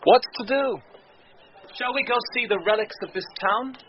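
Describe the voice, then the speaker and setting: An actor playing a courteous, eager young gentleman, speaking measured verse in classic radio Shakespeare style. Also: very high voice. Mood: grateful. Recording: dull, noisy old radio broadcast.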